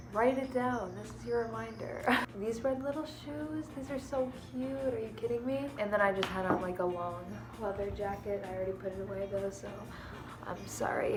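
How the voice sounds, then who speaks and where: A woman's voice: medium pitch, noisy background. A young woman with a stuffed-up, snuffly nose from allergies, chatting casually and rambling to the camera in her living room. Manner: chatty and rambling, many fillers like "um" and "like". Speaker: beauty and costume vlogger.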